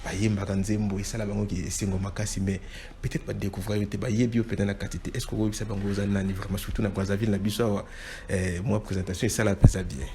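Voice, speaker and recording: Brief: male host, mellow and fairly low, affirming, quick to nod along, faint hiss behind. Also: flat delivery. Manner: affirming, frequent short acknowledgements. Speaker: interviewer, host. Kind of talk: interview, mixing Lingala and French.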